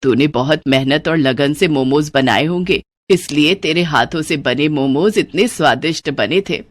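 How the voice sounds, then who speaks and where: Cartoon storybook: an elderly, affectionate mother-in-law, slow and warm-hearted, a woman doing the voice. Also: deep voice, no room sound, pitched below the performer's own voice. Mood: proud.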